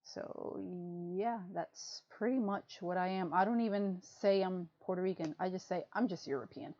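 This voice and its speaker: A woman's voice: mid-range voice, moderate pace, mellow, no room sound. A woman co-hosting a livestream podcast, chatting casually and rambling, laughing often and saying "freaking" a lot. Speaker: podcast co-host. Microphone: desk mic on a livestream. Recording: muffled lo-fi stream audio.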